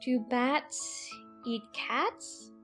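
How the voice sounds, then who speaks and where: Woman voicing a little girl, very high voice, curious, polite, chatty, musing aloud, dramatized storybook, faint music. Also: drawn-out words, fairly bright tone, full character voice. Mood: drowsy. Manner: musing to herself, wondering aloud. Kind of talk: dramatized audiobook acting.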